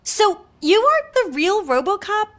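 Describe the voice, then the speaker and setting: Cheeky female desk clerk for a game, bright and lively. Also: extremely high pitch, normal speed, heavily acted. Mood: teasing. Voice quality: teasing, cheeky. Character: flirty, sassy hotel receptionist woman. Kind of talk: video game dialogue.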